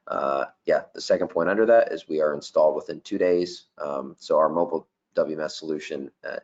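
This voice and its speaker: A man giving a casual software product presentation in a webinar, with lots of "um" and "you know". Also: very deep voice, slightly rough, dull audio. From not Danish. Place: office.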